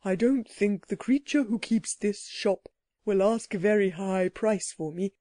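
A small, ragged storybook sand-fairy pleads in a soft, tearful hush, as a woman reader puts it on. The voice is warm and mellow, and sounds dry and close. It confides and begs as if it might cry any moment. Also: mid-range voice, unhurried, dry recording, lightly acted. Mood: tearful pleading. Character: shabby miserable small sand-fairy creature, sulky and self-pitying.

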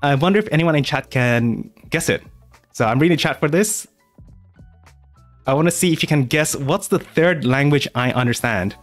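A man's voice: fairly high pitch, animated, moderate pace, faint music behind. A man hosting a live question-and-answer stream, talking casually with many fillers and restarts. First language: English. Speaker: art channel host.

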